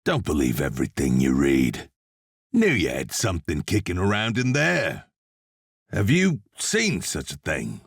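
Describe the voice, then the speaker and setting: An actor playing a gruff, hard-bitten demon hunter and vengeful soldier, terse and plain-spoken, with gravelly video game delivery. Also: male voice, deep voice, wildly swooping pitch, a little slow, no room sound, clean studio sound, full character voice. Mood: grim, brooding. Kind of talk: video game voice lines, scripted.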